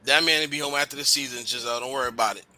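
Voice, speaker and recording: A man's voice: medium pitch, very fast, bright, forceful tone, slight background noise, poor audio. A man co-hosting a podcast, chatting casually and animatedly, swearing and using slang. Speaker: podcast co-host. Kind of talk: casual chat.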